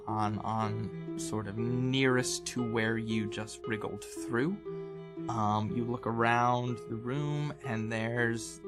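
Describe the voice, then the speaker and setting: Male game master over guitar music. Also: medium pitch. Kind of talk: improvised tabletop game narration.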